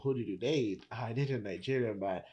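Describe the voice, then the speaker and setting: Tanzanian man, low voice, easygoing chat, restarts phrases. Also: normal speed, dull audio. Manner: relaxed, repeats words while thinking. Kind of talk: casual conversation.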